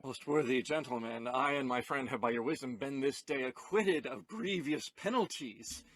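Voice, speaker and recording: A man acting an earnest, flustered young gentleman in a Shakespearean audio play, pleading and hesitant with emphatic delivery. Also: mid-range voice, moderate pace, no room sound, natural voice. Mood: courteous, earnest.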